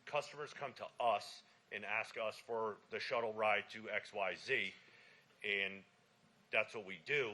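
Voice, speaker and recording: A very bright, forceful male voice with a naturally loud delivery, pitched a little low. He tends to lean off the podium mic, so the level keeps dipping. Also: a little slow. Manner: loud voice, speaks away from the mic. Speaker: public commenter, shuttle cart driver. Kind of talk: public comment at city meeting. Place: city commission chamber. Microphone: podium microphone, often off-mic.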